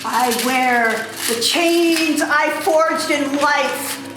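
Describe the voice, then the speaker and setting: A woman's voice: high-pitched, drawn-out words. A woman in an amateur dramatic reading playing a mournful male ghost in chains who declaims solemn warnings. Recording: very echoey room, faint background noise.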